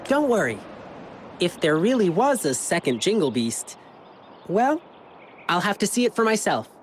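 A woman playing a youthful, confident adventurer in a video game, earnest and expressive. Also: lowish voice, moderate pace, a little hiss or hum. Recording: game music underneath.

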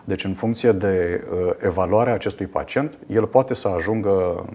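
A man in his fifties, a radiologist guest in a TV studio interview, explaining a procedure in a measured way with frequent fillers. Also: low voice, mellow. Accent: Romanian. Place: television studio.

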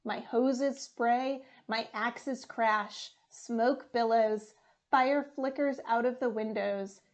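Slow, high-voiced young woman reading aloud. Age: young adult. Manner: deliberate, expressive reading. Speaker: firefighter reading a storybook. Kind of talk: children's storybook read-aloud. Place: small room indoors.

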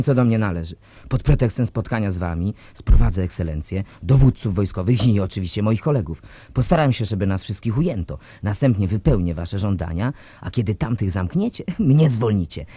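An actor playing a treacherous, self-serving government official, husky and ingratiating, in a radio drama. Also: male voice, on the low side, dark tone, no echo.

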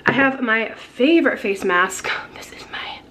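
A young woman in her twenties, hoarse and losing her voice, chatting casually to a handheld camera in her kitchen with lots of giggles and fillers. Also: a little high, expressive, a little slow, fairly bright tone, slight background noise. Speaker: beauty vlogger. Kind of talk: casual vlog chat. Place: home kitchen. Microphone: handheld camera at arm's length.

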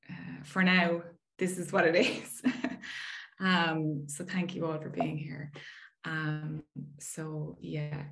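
A woman in her thirties from Ireland with an Irish accent, giving a friendly, chatty research talk over a video call with frequent fillers. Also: fairly low pitch. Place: indoor room at home.

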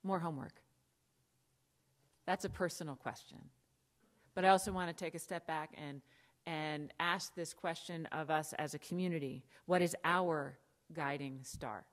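Low-voiced American woman pastor preaching. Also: normal speed. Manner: conversational preaching with asides and emphatic lists. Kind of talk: sermon. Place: church sanctuary, at the pulpit.

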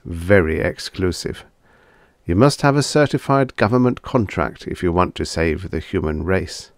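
A man reading vintage science fiction stories as a scripted audiobook, with a measured, dramatic storytelling delivery. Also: sits low, expressive, no room sound, clean studio sound. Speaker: audiobook narrator.

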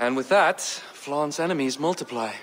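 A man playing a worldly king in a video game, explaining things in a measured, wry manner. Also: fairly high pitch, a bit bright, a faint crowd, natural voice. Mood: grave, confiding. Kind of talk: video game cutscene acting.

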